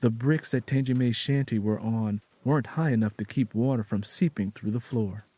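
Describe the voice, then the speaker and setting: A man narrating an audio drama in a measured, solemn, dramatic storytelling style. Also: medium pitch, dark tone, no echo.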